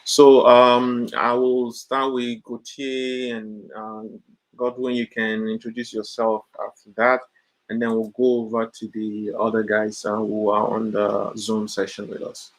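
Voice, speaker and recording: A man hosting an online career info session, talking in a relaxed, filler-heavy way. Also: on the low side, unhurried, poor audio. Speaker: career coach and session host.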